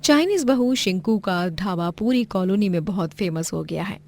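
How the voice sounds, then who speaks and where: A woman's voice: medium pitch, animated, moderate pace, darkish tone. A woman narrating a scripted moral tale as the storyteller.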